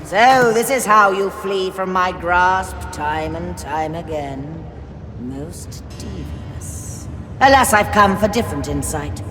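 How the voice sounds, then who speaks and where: Imperious evil sorceress, video game, menacing, taunting, deliberate, very animated pitch, music behind. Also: female voice. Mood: commanding, sly. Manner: grandiose, deliberate, mocking. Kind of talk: theatrical video game voice acting. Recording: long reverb, music behind.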